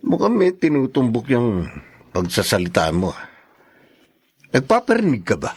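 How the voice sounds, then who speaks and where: A man's voice: mid-range voice, wildly swooping pitch, unhurried, slightly rough, heavily acted. A man playing a domineering, grudging Filipino husband with a curt manner in an audio drama. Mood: suspicious. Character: bossy, grudging adult husband lording over in-laws. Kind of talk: Filipino radio-style drama acting.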